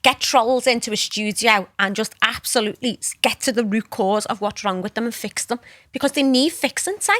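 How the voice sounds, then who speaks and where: A woman's voice: on the high side, fairly quick, no room sound, clean studio sound. Brash Scouse woman telling it straight. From Liverpool, England. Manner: blunt, animated storyteller, acts out voices. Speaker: guest, entrepreneur. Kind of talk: casual interview chat.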